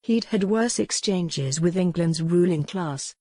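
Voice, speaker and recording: A woman reads a novel aloud in an even, measured way. Her voice sits fairly low with a dark, mellow timbre, and the close mic leaves a dry sound with no room. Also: no room sound. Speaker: audiobook narrator. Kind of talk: scripted novel narration.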